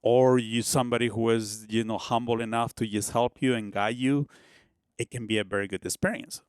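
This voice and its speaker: Relaxed Peruvian man in his fifties. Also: medium pitch, brisk, clean tone, no echo, studio quality. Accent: Peruvian Spanish. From Peru. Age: 50s. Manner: relaxed, rambling, keeps asking "you know what I mean?". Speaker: shaman guest. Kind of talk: casual podcast interview.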